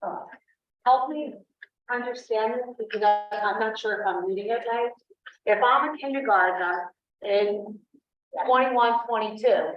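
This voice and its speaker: A woman's voice: medium pitch, distant mic, slight background noise. A woman on a school board, questioning staff at a public meeting and walking through figures aloud. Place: large meeting room. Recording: echoey, dull meeting stream from afar.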